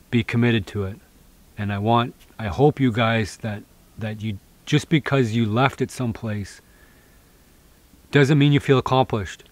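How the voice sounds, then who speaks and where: A man in his thirties vlogging outdoors in a snowy forest, talking casually and encouragingly to camera with lots of fillers and restarts. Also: fairly low pitch. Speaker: bushcraft vlogger.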